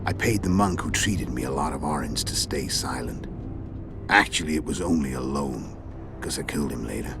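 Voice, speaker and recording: Game villain: hulking, unrepentant assassin, rumbling bass with heavy gravel, calm and dryly ironic, music underneath. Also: male voice, exaggerated voice. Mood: calm, wry. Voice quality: very deep, gravelly. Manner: measured, matter-of-fact storytelling with dry irony. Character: hulking, world-weary male assassin, calm, cunning and unrepentant. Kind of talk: video game cutscene acting. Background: background music.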